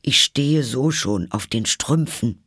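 A man's voice: mid-range voice, takes it slowly, pushed and bright, husky, dry recording, clean studio sound, voice pushed up, heavily acted. A man voicing a wretched, frightened storybook hatter in an anxious, pleading, trembling manner.

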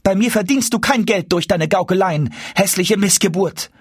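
An indignant storybook market woman, acted out by a male reader, pitched high and scolding. She is scornful and exclaims her rebukes in bitter, angry bursts. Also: moderate pace, husky, no room sound, studio quality. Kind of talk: storybook character voice in a fairy tale reading.

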